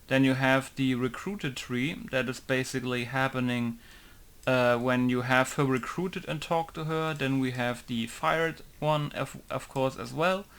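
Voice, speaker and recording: A man's voice: mid-range voice, fairly even intonation, unhurried, fairly bright tone, clear, resonant tone. Young man rambling through a modding tutorial. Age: young adult. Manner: rambling, many fillers and self-corrections. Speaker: game modder. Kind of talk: casual tutorial commentary.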